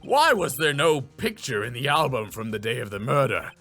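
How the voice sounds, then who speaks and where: A man performs an elderly video game judge. He speaks formally and sternly, with a pompous air and big swings of pitch. Music sits faintly behind. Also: very animated, moderate pace, sharp tone, full character voice. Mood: solemn, demanding.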